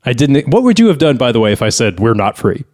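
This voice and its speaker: A man's voice: medium pitch, big pitch swings, fast, a little mellow. Grumbling male podcast host. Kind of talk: casual comedic podcast banter.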